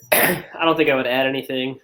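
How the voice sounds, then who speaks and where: A man's voice: medium pitch, fairly even intonation, a little slow, sharp tone. A man co-hosting a soccer podcast, speaking hesitantly and briefly. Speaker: podcast co-host. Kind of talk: casual soccer podcast chat.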